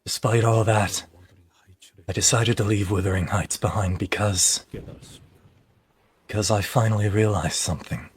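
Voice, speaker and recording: A man's voice: fairly even intonation, moderate pace, pauses often, natural voice. A man voicing an anguished, self-loathing young man maddened by grief over lost love, in an amateur game dub. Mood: bitter, weary. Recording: low-quality amateur voice-chat recording.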